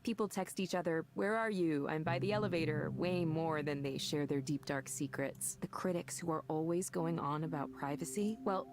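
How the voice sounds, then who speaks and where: An actress voicing a confident woman manager at a tech company, reassuring and corporate in tone. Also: low-pitched. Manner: reassuring, corporate, slightly defensive. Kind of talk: video game voice acting. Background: game music.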